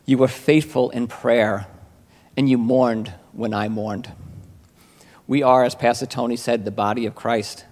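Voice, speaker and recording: A man's voice: mid-range voice, steady tone, a little slow, a little mellow. A man giving a grateful, heartfelt testimony to a church congregation, speaking with some hesitant fillers, in a reverberant sanctuary.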